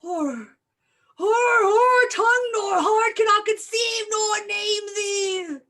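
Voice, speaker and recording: A woman's voice: very high-pitched, slow pace, sharp tone, heavily acted. A woman acting a frantic, vengeful doctor hunting a murderer in a Shakespearean staged reading, with grand, declamatory delivery. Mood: horrified. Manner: declamatory, archaic diction, grandly dramatic.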